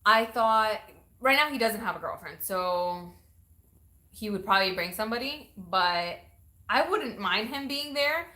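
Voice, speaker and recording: A woman's voice: medium pitch, animated, a little slow, sharp tone. A woman from Venezuela hosting a casual live question-and-answer chat, laughing often and reading out viewer comments. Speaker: YouTube channel host. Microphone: ring light setup, camera mic.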